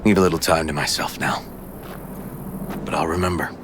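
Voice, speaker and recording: Deep, brooding, vengeful ex-detective. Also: male voice, steady tone, normal speed, noisy background. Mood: subdued gratitude. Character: grim, vengeful male ex-detective, weary and brooding. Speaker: former detective. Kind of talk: dramatic video game acting.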